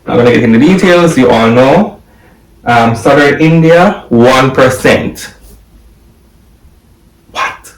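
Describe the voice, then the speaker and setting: A young man from Jamaica, talking excitedly to camera from a home office and repeating the numbers he reads out. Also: mid-range voice, takes it slowly, long pauses, recorded from a distance. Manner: excited, exclaiming, repeating figures. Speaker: vlogger. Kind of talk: casual video commentary. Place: home office room, indoors. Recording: echoey room, voice from afar.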